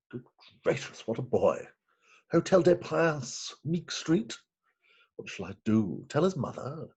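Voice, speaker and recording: A man playing a flustered, animated British magistrate. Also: on the high side, slow pace, pauses often, husky, exaggerated voice. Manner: fussy, dithering, exclamatory. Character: flustered, proper middle-aged magistrate, indulgent stepfather. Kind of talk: theatrical staged reading of Victorian farce. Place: home, recorded remotely.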